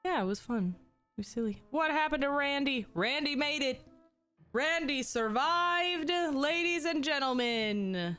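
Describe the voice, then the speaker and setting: Young female streamer, casual chat, slightly hoarse from a cold, faint music underneath. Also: high-pitched, a bit bright. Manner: chatty, reads and answers chat, frequent ums. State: slightly sick, losing her voice.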